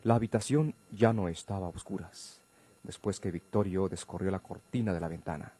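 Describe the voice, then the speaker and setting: A man reading scripted narration for a radio drama in a husky voice. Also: deep voice, moderate pace, warm tone.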